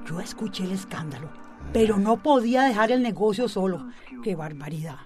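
A woman's voice: medium pitch, lively intonation, moderate pace, over music, lightly acted. An actress with a Costa Rican accent playing an outspoken, gossipy woman shopkeeper in a radio soap opera, chatty and indignant. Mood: gossipy, scandalised.

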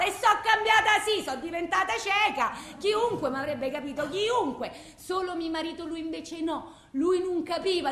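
An actress playing a bitter, distraught blind widow in a theatrical monologue, rambling plaintively in a colloquial Roman accent. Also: female voice, very high voice, a bit bright. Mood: anguished, angry. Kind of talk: theatrical phone-message monologue. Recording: echoey room.

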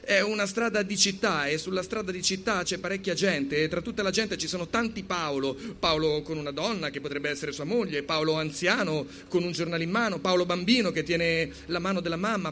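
A man's voice: on the high side, fairly bright tone. A man narrating a story as a dramatic reading, with an urgent, theatrical delivery. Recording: clear background noise.

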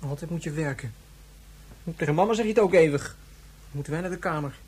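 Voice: medium pitch, quick pace, darkish tone, husky, natural voice. A performer playing a whiny, insistent and imaginative young boy in a radio drama, with a childlike voice. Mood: sulky, bitter. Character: petulant, imaginative young boy, upset about his mother.

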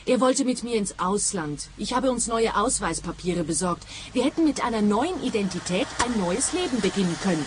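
A woman's voice: medium pitch, animated, normal speed, few pauses, noisy background, close to an everyday voice. Woman acting a bitter, menacing widow. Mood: bitter, accusing. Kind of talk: comic detective radio play.

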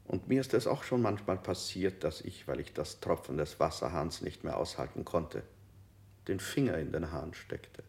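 An actor playing a male interrogator in a radio play, slowly posing falsely sympathetic questions with a gravelly voice. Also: sits low, a little mellow. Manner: slow, ingratiating questioning. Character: gently probing male interrogator, insidiously sympathetic.

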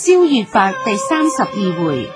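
A woman's voice: a little high, very animated, moderate pace. A woman with a Cantonese accent, an announcer reading scripted radio drama credits. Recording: announcement over clear music. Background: music.

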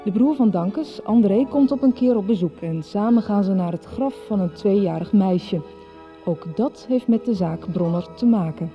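A woman's voice: medium pitch, normal speed, faint music behind. A woman reading a scripted recap as narrator of a radio soap. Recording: slightly dull radio recording.

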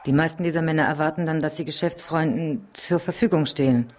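A woman's voice: sits low, fairly quick, a little mellow. Casting: savvy big-city call girl, cool, matter-of-fact, businesslike, radio drama. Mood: explaining. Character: shrewd, businesslike Los Angeles call girl. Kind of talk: German radio drama acting.

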